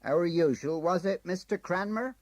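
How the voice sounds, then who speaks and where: A man's voice: high-pitched, moderate pace, dry recording, pitched above the performer's own voice, natural voice. A man voicing a cheerful, chummy male station ticket clerk who teases a regular customer. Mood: jovial. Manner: jovial, teasing.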